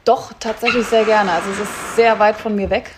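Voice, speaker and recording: A woman's voice: mid-range voice, steady tone, a little fast. Woman chatting in an interview, faint background noise. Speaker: stage actress, interview guest. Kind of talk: casual interview chat.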